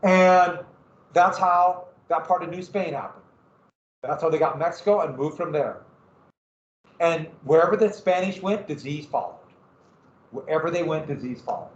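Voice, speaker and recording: A man's voice: fairly high pitch, moderate pace, long pauses. A man with a Montana accent giving a casual, rambling classroom history lecture as a teacher. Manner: casual, rambling, self-deprecating. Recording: echoey classroom from far off, dull.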